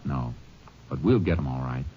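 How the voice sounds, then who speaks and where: Deep american guy tough terse texas ranger, old radio western, muffled recording. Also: expressive, moderate pace, noisy background. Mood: firm, accusing. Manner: terse, commanding, dry. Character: tough, cool-headed adult male Texas Ranger lawman. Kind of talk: 1950s radio western acting and narration.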